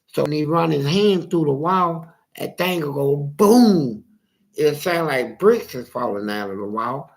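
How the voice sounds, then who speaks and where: Gravelly man telling a street story. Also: a little high, a little slow. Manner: animated street storytelling, acting out dialogue, profane. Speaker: interviewee telling his life story. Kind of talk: casual storytelling.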